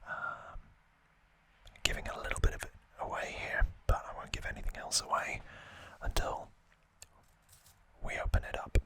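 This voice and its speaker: A man hosting an ASMR unboxing, speaking in a breathy whisper, gently and unhurriedly in short phrases with pauses. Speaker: ASMR video host.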